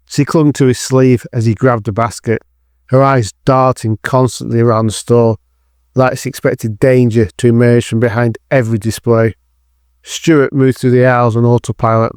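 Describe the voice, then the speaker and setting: A man's voice: mid-range voice, darkish tone, smooth, no room sound, clean studio sound. A man narrating a scripted thriller drama in the third person.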